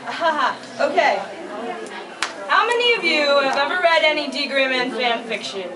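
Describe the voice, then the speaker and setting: High-voiced woman hosting in an echoey room. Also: lively intonation, normal speed. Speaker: fan convention panel host. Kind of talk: playful live panel banter. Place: echoey room at a fan convention. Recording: echoey, distant mic, crowd noise. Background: audience chatter.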